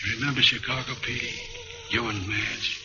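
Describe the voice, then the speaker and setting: An actor playing a washed-up older American drunk on the run, rambling and wheedling, in an old-time radio noir drama. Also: male voice, mid-range voice, wildly swooping pitch, moderate pace, background noise. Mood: wistful pleading. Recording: dull old radio recording. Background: loud music.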